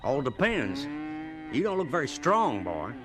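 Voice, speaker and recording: An actor playing a gruff, skeptical farm boss in an audio drama, blunt and clipped. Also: male voice, mid-range voice, wildly swooping pitch, a little slow, background noise. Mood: gruff, doubtful.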